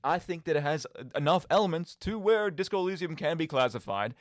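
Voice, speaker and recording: A man's voice: on the high side, a little fast, no echo, studio quality. A man hosting a show, casually rambling about video games in an emphatic way and often checking that listeners follow. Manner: animated, emphatic, often seeking agreement with "right?". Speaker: podcast and radio show host.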